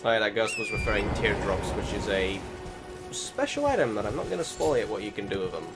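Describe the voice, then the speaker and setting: A man narrates his own gameplay in a casual, rambling and self-deprecating style. His voice sits fairly low but moves around a lot in pitch. Music plays clearly behind him. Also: animated, a little fast. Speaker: gaming video commentator.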